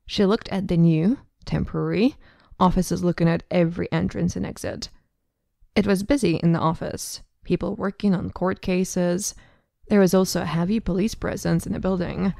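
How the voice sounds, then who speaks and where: A woman's voice: lowish voice, steady tone, moderate pace, vocal fry, warm tone, no room sound, clean studio sound. A woman reading scripted narration for an audio drama.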